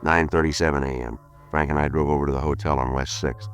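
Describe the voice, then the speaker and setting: An actor playing a terse, deadpan American police detective who narrates a 1950s radio crime drama. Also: male voice, extremely low pitch, quick pace. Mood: flat, factual. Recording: vintage 1950s radio recording.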